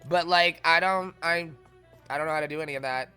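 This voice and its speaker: Streamer type: man, New England accent, bright forceful tone, camp and profane. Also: fairly high pitch, fairly quick, smooth, soft background music. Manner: chatty, profane, playful, camp banter. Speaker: streamer playing a video game. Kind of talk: casual livestream chat with viewers.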